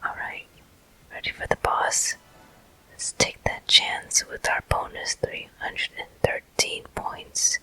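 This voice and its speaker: A person whispering ASMR gameplay commentary as the host of a walkthrough, in a breathy, unvoiced, gentle and deliberate way. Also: rough recording. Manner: slow, gentle, deliberate delivery.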